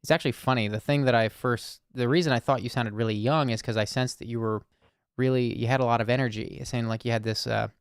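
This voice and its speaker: A man hosting an anonymous call-in circle, speaking softly and searchingly with many pauses and fillers. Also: medium pitch, steady tone, clear, resonant tone, dry recording, clean studio sound. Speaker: host. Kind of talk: listening call-in conversation.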